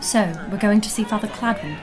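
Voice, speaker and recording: A woman's voice: medium pitch, brisk, music in the background, lightly acted. A woman playing a practical, wary elf mage in a fantasy audio drama, with a dry, mildly impatient manner.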